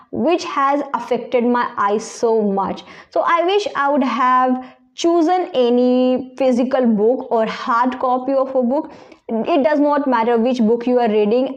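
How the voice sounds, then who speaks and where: A woman's voice: high voice, lo-fi sound. A woman with a strong Indian accent, giving personal advice to viewers as an English-learning presenter.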